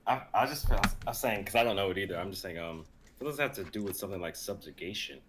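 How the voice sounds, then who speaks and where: A man's voice: sits low, lively intonation, fast, fairly bright tone. A young man chatting casually on a noisy livestream call, rambling as he thinks aloud. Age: young adult. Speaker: actor and reader on a group livestream. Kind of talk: casual chat.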